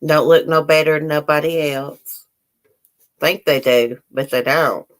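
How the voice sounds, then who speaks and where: A woman's voice: deep voice, steady tone, measured pace. A woman with a Southern US country accent, chatting bluntly and casually and swearing freely. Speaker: host of the show. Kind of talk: casual chat.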